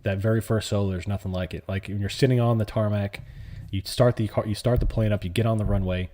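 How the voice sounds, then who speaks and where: A man's voice: on the low side, steady tone, fast, warm tone, slight background noise. A man hosting a live trading stream, talking in a loose, rambling way as he answers viewers' questions. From born in New York City, raised in the US South. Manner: rambling, conversational, answers chat questions as they come in. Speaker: livestream host and options trader. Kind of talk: casual live-stream chat.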